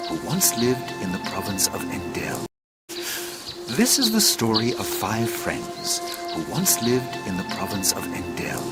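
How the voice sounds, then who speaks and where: Wise old sage storyteller, low voice, stately n theatrical, loud music under him. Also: male voice, big pitch swings, a little slow. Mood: storytelling. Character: wise old sage storyteller, solemn male former schoolmaster. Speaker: narrator. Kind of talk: dramatic audio drama storytelling.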